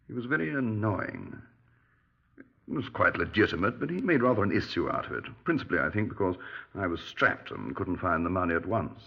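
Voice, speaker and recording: An actor playing a genial, breezy middle-aged British retired major in a 1950s radio drama, clipped and dismissive. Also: male voice, deep voice, expressive, brisk, creaky, husky, heavily acted. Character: genial, dapper retired army major, breezy small-town murder suspect. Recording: muffled 1950s radio recording.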